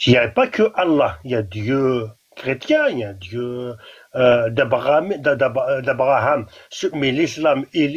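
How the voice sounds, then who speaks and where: A man's voice: medium pitch, darkish tone, breathy edge, highs cut off. A man from Algeria with a Kabyle accent, whose first language is Kabyle, arguing insistently in a live debate and often restarting his words. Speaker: debate guest.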